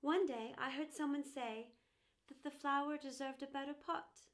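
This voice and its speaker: A woman's voice: high-pitched, steady tone, moderate pace, lightly acted. A woman voicing a proud porcelain teapot, grand and wistful, in a children's storybook reading. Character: proud, self-important porcelain teapot, later humbled and wistful. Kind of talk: children's storybook character narration.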